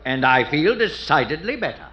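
A bumbling absent-minded old husband. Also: male voice, on the high side, very animated, normal speed, muffled recording. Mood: vague. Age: older. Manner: vague, bumbling. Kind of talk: 1940s radio acting. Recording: echoey old radio with loud crowd and hiss.

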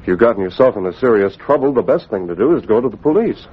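Principled, cautious gentleman, formal and animated, vintage radio serial, dull recording. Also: on the low side, fast talker, husky. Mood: firm, advising. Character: earnest, principled gentleman of means, cautious and law-abiding. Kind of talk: 1940s radio acting.

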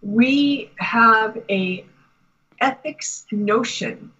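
An American woman talking conversationally as a guest spiritual teacher, explaining and telling anecdotes in a measured, deliberate way. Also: a little high, bright, forceful tone, husky, dull audio. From United States. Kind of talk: conversational interview talk with anecdotes.

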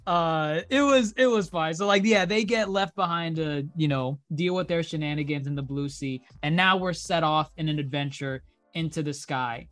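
A podcast co-host in casual chat, talkative and quick to laugh, restarting sentences as thoughts pile up. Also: mid-range voice, a bit bright, soft background music. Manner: chatty, laughs often, trails into restarts.

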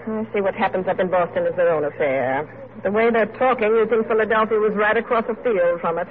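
An actress playing a bustling, opinionated middle-aged tavern mistress, brisk and chatty, in an old radio drama. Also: female voice, medium pitch, phone-quality sound, natural voice. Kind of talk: 1940s-50s radio drama acting. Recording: thin, noisy old radio recording.

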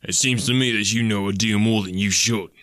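A man's voice: on the low side, fairly even intonation, a little fast, a bit bright, dry recording, clean studio sound, lightly acted. A man voicing a simple, wary police constable from a storybook, grumbling in uneducated dialect phrasing. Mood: frightened, suspicious.

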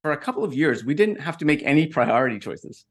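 A man, a policy analyst, explaining budget choices in a brisk way in an interview clip. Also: on the high side, sing-song.

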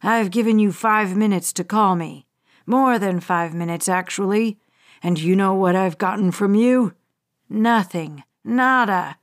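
A woman voicing a menacing male hijacker who speaks in a low, cold, threatening way. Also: unhurried, lightly acted.

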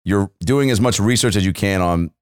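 A man's voice: fairly low pitch, moderate pace, clean tone, no echo, studio quality. A young male comedian co-hosting a podcast, riffing on tangents and acting out little bits in casual banter.

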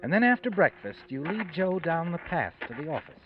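An actor playing a knowing, sardonic narrator, theatrical and insinuating, in an old-time radio drama. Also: male voice, fairly high pitch, very animated, a little fast. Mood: knowing, ominous. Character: knowing, sardonic male narrator addressing the schemer. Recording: muffled vintage radio recording. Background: music.

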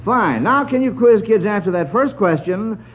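A high-pitched American man works as a quizmaster on old-time radio. He talks with jovial, folksy showmanship, and the recording is dull and narrow like a vintage broadcast. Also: animated, moderate pace. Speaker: radio quiz show host.

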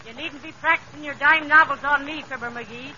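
A woman's voice: high voice, a little fast, pushed and bright. A woman playing a bossy, teasing wife with an in 1930s radio comedy. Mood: scolding, wry. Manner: scolding, wry. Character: bossy, teasing wife riding along in a jalopy. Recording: muffled, noisy old radio recording.

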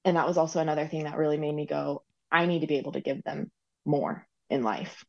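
A woman's voice: low voice, fast talker, gravelly, darkish tone, dull audio. A woman guest on a podcast interview, talking in a rambling way with frequent ums and likes. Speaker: guest, recipient parent. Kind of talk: conversational interview.

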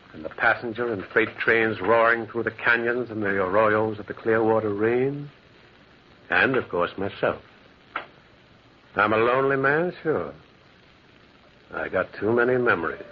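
A man's voice: lowish voice, close to an everyday voice. An actor playing a lonely man who lives alone by the railroad tracks, narrating and acting in a brooding, dramatic 1940s radio drama style. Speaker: narrator and lead character. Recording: lo-fi old radio recording with faint hiss.

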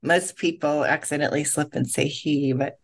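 A woman's voice: sits low, steady tone, normal speed, vocal fry, breathy edge. Giggly, chatty woman author. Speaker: guest author. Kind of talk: casual interview.

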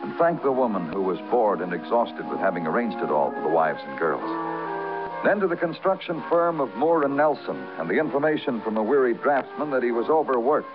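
A man's voice: mid-range voice, big pitch swings, a little fast, natural voice. An American actor playing a world-weary police detective who narrates his case in poetic, clipped, dramatic 1950s radio noir style. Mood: brisk, weary. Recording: muffled vintage radio broadcast, music behind.

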